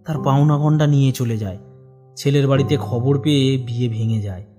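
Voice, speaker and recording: A man's voice: mid-range voice, normal speed, mellow, soft background music, lightly acted. A man playing a concerned middle-aged village uncle who tells a tale in a horror cartoon with an elder's familiar manner. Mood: grave storytelling. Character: older village uncle, fish trader, family friend, concerned. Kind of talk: animated horror cartoon dialogue and storytelling.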